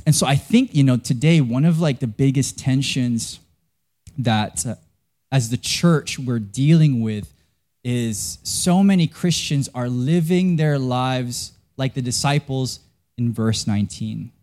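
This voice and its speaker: A man's voice: mid-range voice, a little slow, darkish tone. A young man from Canada preaching a sermon as a pastor, telling a story in a casual, self-deprecating way with lots of fillers and restarts.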